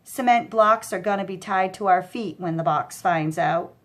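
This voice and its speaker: A woman's voice: fairly low pitch, normal speed, natural voice. A woman voicing a dim-witted, anxious male goon who whines and rambles in a children's story. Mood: whining, panicky. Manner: whining, rambling, defensive. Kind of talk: children's audiobook character voice.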